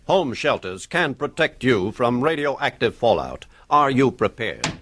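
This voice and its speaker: A man reading scripted public service narration in a measured, instructive way. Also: mid-range voice, big pitch swings, fairly bright tone, slight background noise.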